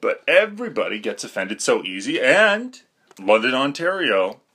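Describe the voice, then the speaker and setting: A man's voice: mid-range voice, big pitch swings, normal speed, sharp tone, slightly rough. A young man talking through a rambling, self-deprecating podcast monologue, full of fillers and restarts. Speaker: podcast host.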